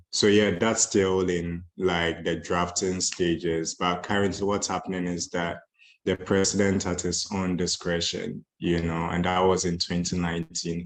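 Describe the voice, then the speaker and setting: Low, flat-voiced man. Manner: relaxed, many fillers like "you know". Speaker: conference speaker on moving to Ghana. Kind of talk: casual talk. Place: online video call.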